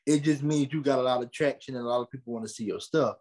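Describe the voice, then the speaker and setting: A young American man giving conversational interview answers, earnest and animated, repeating phrases for emphasis. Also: mid-range voice, fast talker. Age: young adult. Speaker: podcast host and creative being interviewed.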